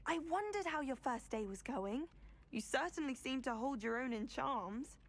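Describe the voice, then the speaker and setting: A friendly teenage schoolgirl witch in a fantasy video game. The voice is fairly high, chatty and polite, with a modest, self-doubting temper. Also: expressive, normal speed, a little hiss or hum. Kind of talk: scripted video game acting.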